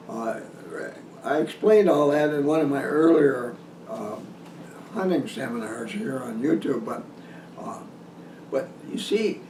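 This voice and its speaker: A man giving an informal instructional hunting talk in a halting, folksy way, repeating and restarting his phrases. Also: fairly high pitch, darkish tone. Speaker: deer hunting instructor hosting a video seminar. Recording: roomy echo, distant mic, some noise.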